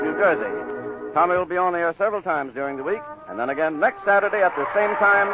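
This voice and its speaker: A high-pitched American man works as a polished big band radio announcer, talking quickly and formally with a showman's flourish. The sound is a thin, tinny vintage broadcast with loud music behind him. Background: loud big band dance music.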